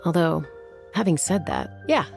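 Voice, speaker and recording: Frank, steady young warrior woman for a game: low register, lively inflection, wry, faint music. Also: moderate pace, creaky. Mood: frank, calm. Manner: matter-of-fact, wry. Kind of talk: video game voice acting.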